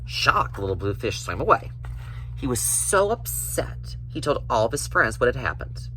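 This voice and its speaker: A man telling a children's story in an expressive storybook reading style. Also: mid-range voice, moderate pace, slight background noise, low-quality recording.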